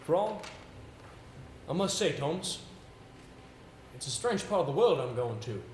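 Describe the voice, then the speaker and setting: A man acting in an amateur stage play as an earnest, confident young gentleman, formal, deliberate and declamatory. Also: on the high side, sing-song. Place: indoor theatre stage. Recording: echoey stage recording with background hiss.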